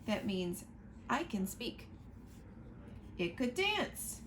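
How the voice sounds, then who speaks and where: A woman reading a picture book aloud to children, slow and expressive, pointing out the pictures as she goes. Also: a little high, slight background noise. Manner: slow, expressive storytime reading, asking listeners to look at pictures.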